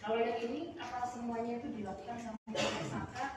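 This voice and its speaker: A woman asking questions as a reporter at a press conference, casual and quick. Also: a little high, fairly even intonation. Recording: echoey hall from far off with noise.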